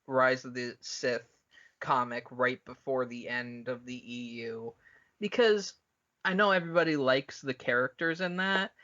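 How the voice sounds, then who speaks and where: Male podcast co-host, forceful bright voice, opinionated and sweary fan talk, slightly muffled lo-fi recording. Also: mid-range voice. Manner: opinionated, blunt. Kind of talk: casual, profane fan discussion.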